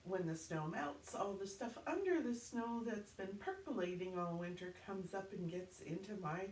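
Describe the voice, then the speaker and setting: A woman's voice: medium pitch, lively intonation, normal speed, a little hiss or hum. A woman from Canada, stuffed up and congested, chatting through a guided chair exercise class and counting reps. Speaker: fitness instructor. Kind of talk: guided chair workout instruction. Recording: roomy, from a distance, loud music. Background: loud upbeat music.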